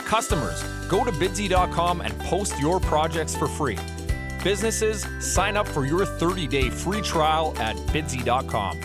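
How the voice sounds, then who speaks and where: A man's voice: a little high, very animated, unhurried. Polished male podcast host. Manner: polished, announcer-like delivery. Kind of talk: scripted intro and interview questions. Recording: faint music under the voice.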